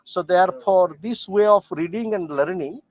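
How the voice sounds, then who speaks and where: High-pitched man, halting academic speaker. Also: muffled recording. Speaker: academic discussant. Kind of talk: formal remarks in an online discussion. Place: joining a video call from a train.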